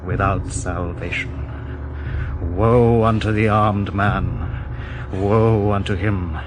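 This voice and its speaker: Solemn male visionary, deep register, measured incantatory delivery, radio drama with music beneath. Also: fairly even intonation. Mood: wrathful, declaiming. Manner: grave, incantatory, slowly intoned. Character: solemn man recounting an apocalyptic vision of divine wrath.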